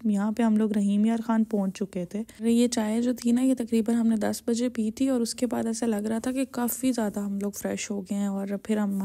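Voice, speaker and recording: Gravelly-voiced young Pakistani woman vlogger. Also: mid-range voice, warm tone. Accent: Pakistani Urdu. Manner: chatty, filler-heavy storytelling. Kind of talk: casual vlog voice-over narration.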